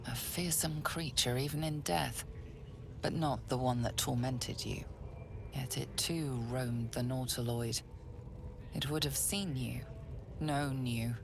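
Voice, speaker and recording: A woman playing a solemn storyteller, giving measured, ominous scripted narration for a fantasy video game. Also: rumbling low, gravelly, background noise, heavily acted.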